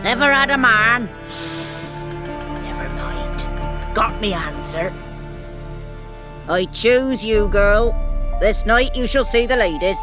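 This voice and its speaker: Slow, haughty old crone. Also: female voice, medium pitch, lively intonation. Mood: approving, solemn. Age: older. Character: blind old crone, stern gatekeeper judging pilgrims. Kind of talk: dramatic video game acting. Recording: music playing behind the voice.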